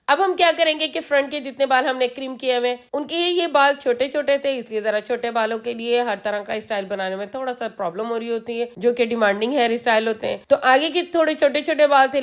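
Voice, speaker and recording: A woman talks through a hair styling tutorial, walking viewers through each step and repeating the important ones. Her voice is hoarse from a sore throat and sits a little high. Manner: patient, instructive, repeats key steps. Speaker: hairstylist and salon channel host.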